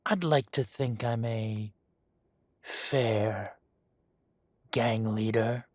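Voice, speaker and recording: A man voicing a menacing adult male dragon gang boss in an audio roleplay drama. He speaks softly and condescendingly, with deliberate, slow threats and hushed whispers. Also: lowish voice, fairly even intonation, dry recording. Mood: silky menace. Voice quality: soft-spoken, silky and condescending, drops to whispers.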